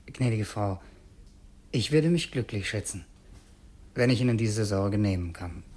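An actor playing a young, educated revolutionary assassin in a German radio play, earnest and politely scheming, with formal, measured diction. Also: male voice, lowish voice, animated, darkish tone. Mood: courteous.

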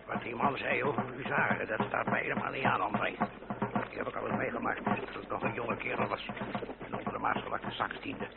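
An actor playing a wary old uncle who once soldiered, in a radio play, with a creaky, gruff delivery. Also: male voice, lowish voice, fairly even intonation, brisk, over loud music. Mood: grave, wary. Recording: very noisy, narrow old radio recording.

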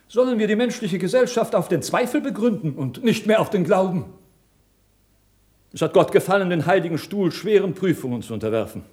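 An actor playing a zealous, sly cardinal in a radio drama, speaking with insistent, scornful rhetoric. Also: male voice, sits high, darkish tone. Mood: indignant.

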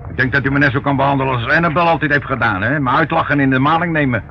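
An actor playing an aggrieved, cheeky small-time crook in a radio play, whining and defiant. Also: male voice, medium pitch, rapid-fire, natural voice. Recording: muffled, noisy old radio recording.

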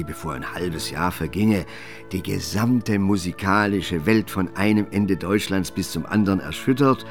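A man narrating a literary radio drama in a measured, formal manner. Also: low-pitched.

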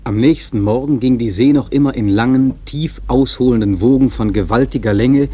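A man's voice: medium pitch, warm tone, breathy edge. An actor playing a reflective seaman who narrates a whaling voyage as literary radio drama. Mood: solemn, descriptive.

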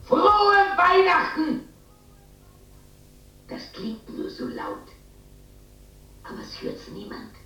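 A woman's voice: very high-pitched, wildly swooping pitch, slow, pauses often, sharp tone, recorded from a distance, exaggerated voice. An actress playing a lonely, coaxing older mother in a radio play. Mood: festive, reassuring. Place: large echoing empty hall. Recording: muffled, very echoey, noisy radio recording.

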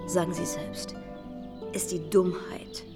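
A woman's voice: lowish voice, little pitch movement, slow. Cool haughty young society lady, radio drama, big echoey reverb, music playing behind her. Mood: cool, persuasive. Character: worldly, self-assured young society lady, coolly unrepentant.

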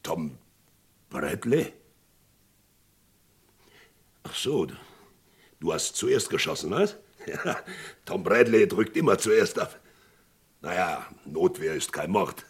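An actor playing a folksy, blustering Western sheriff in a vintage radio play, with a gravelly, husky voice. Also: male voice, low-pitched, very animated, takes it slowly, pauses often, heavily acted. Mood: stunned, uneasy. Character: boisterous, jovial small-town Western sheriff, probing and incredulous.

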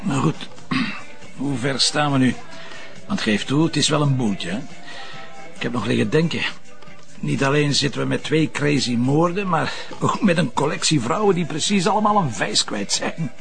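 A man's voice: fairly high pitch, moderate pace, creaky, a bit bright, soft background music. An actor playing a world-weary, blunt male detective in a TV crime drama, wry and colloquial. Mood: weary, grumbling. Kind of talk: naturalistic TV crime drama acting.

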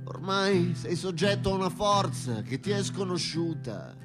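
Male theatre-song singer over loud guitar. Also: high-pitched, very animated, unhurried. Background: plucked guitar accompaniment.

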